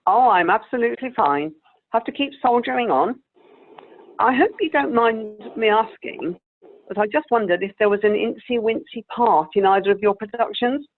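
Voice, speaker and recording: A woman playing a wistful, genteel, sweetly vain elderly actress in an amateur radio play, with a creaky voice. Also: a little high, moderate pace, natural voice. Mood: hopeful, persistent. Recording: tinny, phone-like video call audio.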